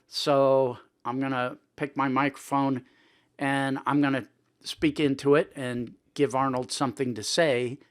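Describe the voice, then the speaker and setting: Informal man giving a software tutorial. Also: medium pitch, level tone, unhurried, smooth. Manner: informal, conversational, thinking aloud. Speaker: tutorial presenter. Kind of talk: casual screen-recording tutorial talk.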